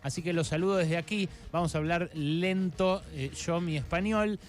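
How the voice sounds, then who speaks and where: A man hosting a radio interview, speaking haltingly with many filler sounds and restarts. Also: fairly high pitch. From Argentina. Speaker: radio host.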